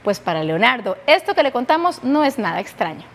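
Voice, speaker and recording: A woman's voice: fairly high pitch, big pitch swings, a little fast, slight background noise. A woman presenter giving a conversational scripted introduction.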